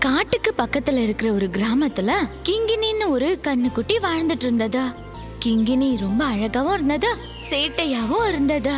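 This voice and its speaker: A woman's voice: high voice, sing-song, moderate pace, music in the background. A woman voicing a children's cartoon storyteller and concerned mother animal. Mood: storytelling.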